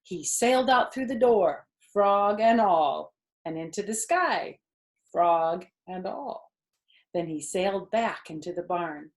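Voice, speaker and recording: A woman storyteller narrating a children's book in an expressive reading style. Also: fairly low pitch, takes it slowly.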